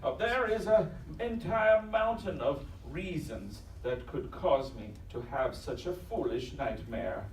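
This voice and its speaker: Distant and echoing on a noisy live stage, a man acts out a nervous, skeptical doctor in a ghost tale. He stammers slowly through formal, old-fashioned phrases with theatrical swings. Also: a little high, animated. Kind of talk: theatrical live audio drama acting.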